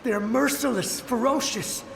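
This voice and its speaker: An actor playing a shaken soldier in a video game, urgent and pleading. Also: male voice, extremely high pitch, unhurried, vocal fry, a little hiss or hum, heavily acted. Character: shaken young male soldier, desperate and haunted. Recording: echoey game audio with loud music.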